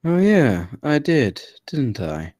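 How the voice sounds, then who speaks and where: A man's voice: medium pitch, sing-song, slow pace, a little mellow, dry recording, studio quality. A man reading the part of a joking, laid-back workmate in a film script, wry and casual. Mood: amused. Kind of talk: film script table read, naturalistic dialogue.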